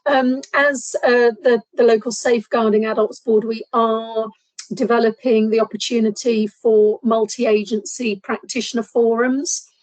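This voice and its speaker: A hoarse woman from a safeguarding board making an announcement in an online meeting, speaking hesitantly with frequent ums. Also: on the high side, little pitch movement, a little slow, a little mellow, no echo, low-quality recording.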